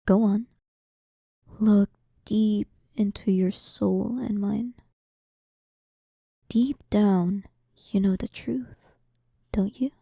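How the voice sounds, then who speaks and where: A woman playing a powerful demon queen in an audio roleplay, dominant, sarcastic and playfully condescending, often dropping into breathy half-whispers. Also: medium pitch, fairly even intonation, extremely slow, pauses often, warm tone, no room sound, full character voice. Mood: commanding. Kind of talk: scripted audio roleplay addressed to the listener.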